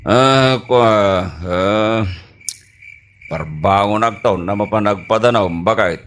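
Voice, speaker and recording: A man's voice: fairly low pitch, takes it slowly, fairly bright tone, a little hiss or hum. An actor with an Ilocano accent playing a stubborn, amorous farmer husband in a radio comedy drama, drawing out his words and interjections. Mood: sleepy, evasive.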